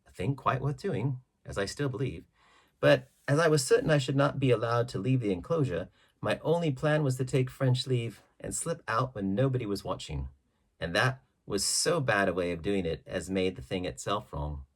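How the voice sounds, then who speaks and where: A male audiobook narrator reads a classic adventure tale. His voice is husky and mid-pitched, with very animated intonation. The phrasing is measured and dramatic. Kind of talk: scripted storybook narration.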